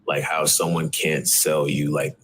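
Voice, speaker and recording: Very deep-voiced man telling a story. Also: measured pace, a little hiss or hum. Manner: animated storytelling, lots of "like". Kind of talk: casual interview talk.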